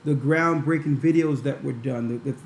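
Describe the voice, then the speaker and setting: A man, an elder of the church, talking quickly on a call-in show and often restarting his sentences. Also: medium pitch, vocal fry, darkish tone, echoey room, a little hiss or hum. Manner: fast, rambling, restarts. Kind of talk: talk show commentary.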